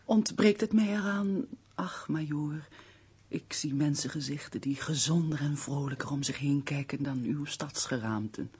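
A very breathy young woman as a castle housekeeper in a radio play. She is refined, gentle and earnest in her formal speech. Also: sits low, a little slow, exaggerated voice. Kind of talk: radio drama acting.

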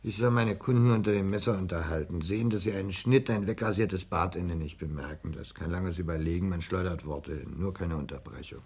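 An actor playing a frail, exalted, lovesick barber in a 1960s German radio play, aggrieved and prone to passion. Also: male voice, low-pitched, fairly quick, creaky, hardly any pauses, a little mellow, breathy edge, full character voice. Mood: defensive, muttering. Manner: aggrieved, brooding, flares into passion.

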